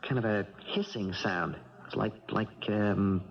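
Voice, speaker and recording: A man's voice: on the low side, measured pace, creaky. An actor playing a sleepless, frightened young male boxer in a 1970s radio drama, stammering and groping for words. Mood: uneasy, groping. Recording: dull 1970s radio recording.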